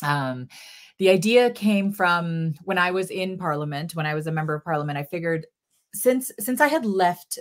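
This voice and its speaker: A woman's voice: fairly low pitch, lively intonation, moderate pace. A woman in her forties from Sri Lanka, a guest filmmaker answering interview questions earnestly in bursts with many fillers, over a video call from a home office.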